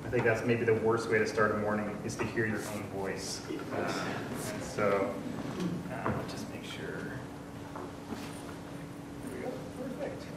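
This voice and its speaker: A man's voice: fairly low pitch, level tone, a little slow, long pauses. Man in his thirties, chatty conference speaker, lots of ums, recorded from far off in an echoey room with noise. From Canada. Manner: friendly, chatty, many ums and uhs, asks the audience questions. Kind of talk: casual conference talk. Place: large dim conference room. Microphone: room mic from far off.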